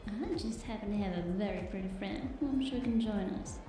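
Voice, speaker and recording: A woman's voice: medium pitch, a little fast, close to an everyday voice. A woman playing a playful, coy, curious market vendor who teases and flirts in a cyberpunk audio drama. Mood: flirty. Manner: coy, teasing, lilting. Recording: echoey, distant mic, music behind.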